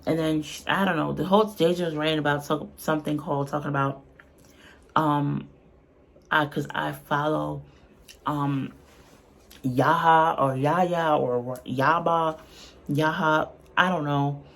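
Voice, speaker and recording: A woman's voice: sits low, steady tone, measured pace, creaky. A woman giving casual, unscripted reaction commentary in a blunt, colloquial, earnest way. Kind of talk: casual, unscripted opinion talk.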